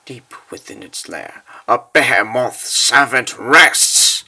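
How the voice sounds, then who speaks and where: A man narrating a dark fantasy story in a theatrical, ominous style with deliberate phrasing. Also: mid-range voice, lively intonation. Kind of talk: dramatic scripted fantasy narration.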